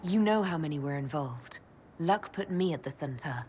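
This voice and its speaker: Elf mage heroine, game cutscene, lowish voice, very varied intonation, modest and direct. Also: female voice, animated, moderate pace, dark tone, a little hiss or hum. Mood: modest, sober. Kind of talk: video game cutscene acting.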